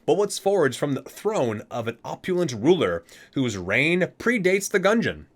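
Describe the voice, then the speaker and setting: A man's voice: fairly high pitch, very animated, measured pace. A man doing an ASMR reading, reciting expressively and dropping in casual, murmured offhand remarks. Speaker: video creator reading aloud from a game guide book.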